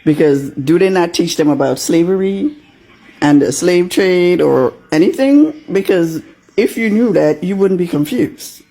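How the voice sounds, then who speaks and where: A man from Grenada with a gravelly, husky voice that sits a little high for a man. He talks in an emphatic, repetitive way and keeps firing rhetorical questions. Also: animated, measured pace, warm tone, low-quality recording. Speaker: commenter in a reply clip. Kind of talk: casual reaction video.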